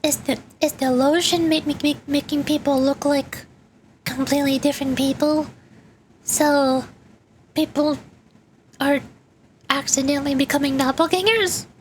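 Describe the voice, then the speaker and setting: A woman's voice: sits high, steady tone, a little slow, pauses often, slight background noise. A woman streamer commenting on a game as she plays and reading text aloud. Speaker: VTuber streamer.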